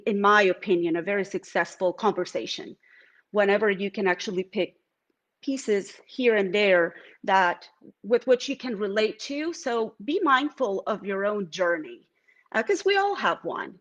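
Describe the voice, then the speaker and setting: Reflective middle-aged woman, Venezuelan accent. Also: mid-range voice. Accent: Venezuelan Spanish. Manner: reflective, with many fillers and restarts. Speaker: artist. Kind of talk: live-streamed artist talk. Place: home art studio.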